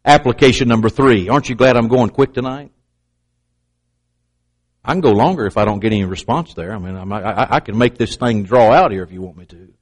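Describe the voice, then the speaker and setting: A man preaching a sermon with emphatic, repetitive pulpit delivery and pointed asides to the congregation. He has a slight stutter and sometimes catches on a word. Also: fairly quick. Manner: slight stutter, clamps up on words; acts out doubters in a raised pitch.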